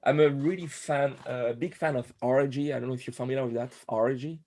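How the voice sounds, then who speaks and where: A man in his forties from Corsica with a French accent, a guest telling his story in a podcast interview, pausing often with fillers and restarts. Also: mid-range voice, fairly even intonation, darkish tone. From Corsica, France. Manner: hesitant, many fillers and restarts. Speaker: guest, animator.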